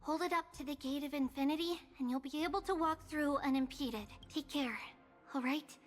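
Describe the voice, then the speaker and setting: An actress voicing a small, childlike priestess guide in a video game, earnest, caring and clearly articulated. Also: female voice, high-pitched, moderate pace, soft background music, close to an everyday voice. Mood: caring, instructive. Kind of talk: scripted video game acting.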